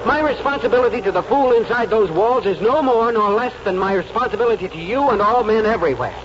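An actor playing an idealistic small-town American lawyer, earnest and oratorical, in 1940s radio drama style. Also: male voice, high voice, fast talker, a little hiss or hum, lightly acted. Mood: impassioned pleading. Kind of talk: 1940s radio acting and first-person narration. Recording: muffled old radio broadcast, music behind.

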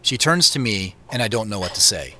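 A man's voice: lowish voice, animated, fairly quick, bright, forceful tone, a little hiss or hum. An actor playing a wistful, self-conscious man in his late thirties, narrating an audio drama in the first person with wry introspection.

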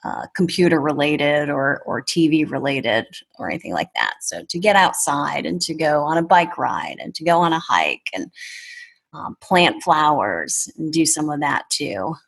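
A woman in her thirties talking casually as a podcast guest in a measured, thoughtful way. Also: deep voice, creaky, fairly bright tone, breathy edge. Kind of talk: casual conversation.

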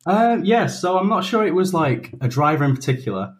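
A man's voice: high-pitched, big pitch swings, brisk. A man, a guest on a podcast, giving his views thoughtfully, with hedges and many restarts. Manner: thoughtful, hesitant, restarts often. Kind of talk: casual chat.

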